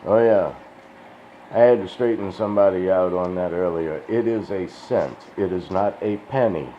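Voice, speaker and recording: A man's voice: low voice, normal speed. A man rambling in a casual, profane, folksy monologue, sounding tired and dry-mouthed. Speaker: crypto video host. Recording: dull lo-fi sound with faint hiss.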